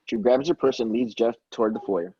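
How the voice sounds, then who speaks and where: A man's voice: medium pitch, brisk, dark tone, breathy edge, lo-fi sound. A man reading script stage directions aloud in a plain, matter-of-fact way, with occasional stumbles. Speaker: stage directions reader at a table read.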